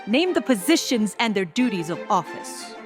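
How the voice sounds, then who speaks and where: A woman's voice: mid-range voice, sing-song, moderate pace, lightly acted. A woman voicing a regal changeling queen who instructs formally, in a scripted fantasy story with music behind her. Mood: formal, instructing. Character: regal changeling queen, a mother and strict teacher. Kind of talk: scripted fan fiction character dialogue.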